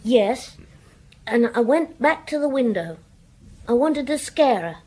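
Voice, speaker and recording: Anxious, high-voiced village schoolboy. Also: female voice, very animated, slow, darkish tone, slightly rough, full character voice, rough recording. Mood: reluctant, anxious. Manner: hesitant, naive, defensive. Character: anxious, evasive village schoolboy confessing mischief. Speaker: performer playing a child in a radio drama. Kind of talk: radio drama dialogue.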